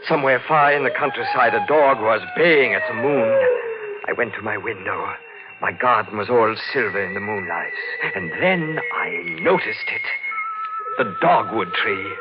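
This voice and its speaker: An actor playing a haunted, fragile gardener with an overwrought, halting delivery in a 1940s radio drama. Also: male voice, fairly low pitch, exaggerated voice. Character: haunted, fragile gardener widower confessing to madness and killing. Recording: dull old radio broadcast recording.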